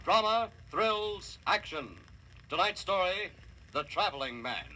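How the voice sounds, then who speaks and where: A man's voice: sits high, sing-song, unhurried, sharp tone, full character voice, rough recording. An American man playing an urgent, punchy announcer on a 1940s radio crime drama, in an old broadcast recording. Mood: urgent, dramatic.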